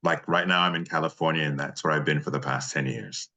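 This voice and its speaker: A man's voice: a bass voice, fast talker, bright, forceful tone. A man from Nigeria, a voice actor, chatting casually as the guest in an interview.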